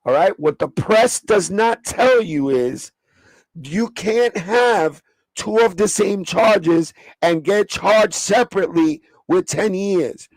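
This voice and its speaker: A man with a raspy voice, hosting a casual livestream and breaking things down step by step in a streetwise, profane way. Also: high voice, slow, dry recording, rough recording. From New York. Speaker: livestream host.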